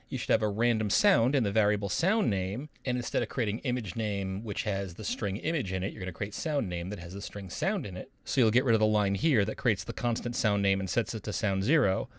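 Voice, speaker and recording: A man's voice: mid-range voice, dry recording. A man narrating a coding tutorial as an instructor, in an upbeat, encouraging, instructional manner. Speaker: programming instructor, university professor.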